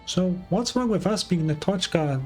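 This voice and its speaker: A woman voicing a perky, bossy little fairy companion in an anime game, squeaky and exclamatory. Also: expressive, normal speed, a little mellow, soft background music, close to an everyday voice. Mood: playful, proud. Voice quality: squeaky, childlike. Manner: refers to self in third person, exclamatory. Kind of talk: anime video game voice acting.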